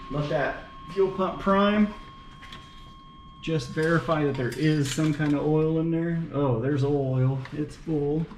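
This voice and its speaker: A man with a slightly high voice talks himself through car work in a playful, folksy way. The garage gives his voice a roomy echo, and there is clear noise with clicks behind him. His delivery is casual and off the cuff, like a home vlog. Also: darkish tone. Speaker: vlogger working on his car. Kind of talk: casual running commentary while working.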